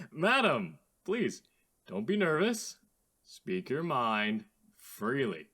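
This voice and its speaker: A man playing a courteous, theatrical radio call-in host with formal, drawn-out greetings in a radio drama. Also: medium pitch, sing-song, pushed and bright, breathy edge, full character voice. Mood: upbeat, courteous.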